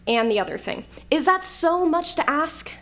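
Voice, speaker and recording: A sarcastic, easily exasperated woman with a youthful form played by an actress in an audio drama. Her voice sits on the high side and swings widely in pitch as she grumbles. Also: expressive, normal speed, fairly bright tone. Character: irritable, sardonic old woman restored to a youthful form.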